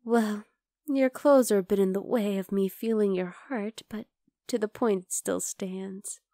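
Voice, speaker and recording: A woman playing a possessive, bloodthirsty vampire girl in an ASMR roleplay, talking intimately to the listener and slipping into breathy whispers. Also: mid-range voice, steady tone, measured pace, warm tone, dry recording, studio quality, lightly acted. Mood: hungry, intoxicated.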